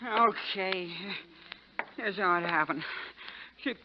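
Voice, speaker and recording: A muffled, hissy 1940s radio recording. A drunken young man whines and stammers in a high voice. He is petulant, evasive and easily rattled, his speech loose with booze. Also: slow pace. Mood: confessing, nervous. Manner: boozy, whining, stammering speech. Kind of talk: 1940s radio drama acting.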